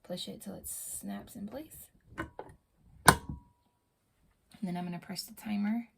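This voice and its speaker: A hoarse woman who has lost her voice, casually explaining an unboxing step by step and pausing with fillers, recorded in a small bedroom. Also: medium pitch, background noise. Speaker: product reviewer.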